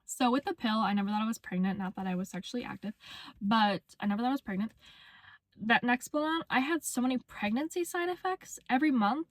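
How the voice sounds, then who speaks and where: A woman's voice: on the high side, fast, no room sound. Chatty young woman with a slight cold. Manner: chatty, filler-heavy with frequent "like" and "um". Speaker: vlogger. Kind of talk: casual talking-to-camera vlog.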